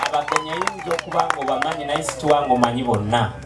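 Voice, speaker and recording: An animated man speaking at a traditional ceremony, often answering with short affirmations. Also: on the high side, rapid-fire, heavy background noise. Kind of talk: ceremony banter. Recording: echoey room, distant mic, loud music and crowd.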